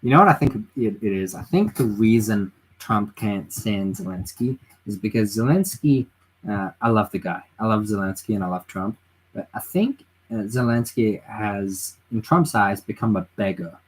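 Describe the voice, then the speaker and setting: A man's voice: on the low side, lively intonation, moderate pace, slightly rough, rough recording. A tired man on a late-night livestream at home, giving his opinions in a rambling way and reading chat messages aloud. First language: English. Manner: rambling, reads chat aloud, frequent restarts and fillers. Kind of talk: casual political livestream chat.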